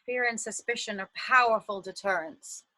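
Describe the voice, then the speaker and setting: High, bright, anxious woman fugitive, played by an actress. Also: moderate pace, poor audio. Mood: nervous, resolute. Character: anxious but determined woman fleeing a flooded underground shelter. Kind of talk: dramatic stage-play dialogue.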